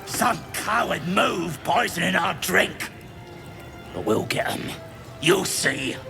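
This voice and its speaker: A man playing a surly, coarse goblin drinker in a video game, with a gravelly, belligerent voice. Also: sits high, animated, slow, music in the background, full character voice. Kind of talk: video game character acting.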